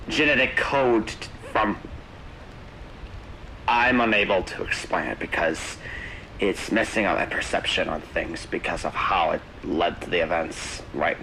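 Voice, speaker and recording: Low voiced guy rambling thru his theories like a found horror tape, kinda forceful, bit of noise behind him. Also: rough recording. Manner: halting, rambling, earnest explaining with repeats. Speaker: narrator of an analog horror video.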